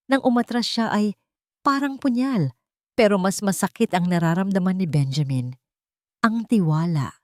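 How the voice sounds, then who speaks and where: A woman narrating a romance drama story with emotive, suspenseful delivery and dramatic pauses. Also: medium pitch, lively intonation, a little mellow, no room sound, clean studio sound.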